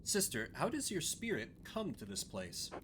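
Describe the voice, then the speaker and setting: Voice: medium pitch, wildly swooping pitch, normal speed, background noise, pitched below the performer's own voice. A game player voicing an earnest, troubled young woman who questions directly and probingly, over music. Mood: puzzled. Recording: music under the voice.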